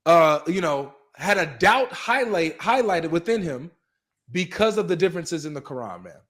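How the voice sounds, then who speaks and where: Man, co-host, casual debate talk, slightly high voice, emphatic, urging. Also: lively intonation, normal speed, a bit bright. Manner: emphatic, preachy urging to viewers, filler "man". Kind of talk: casual religious debate chat.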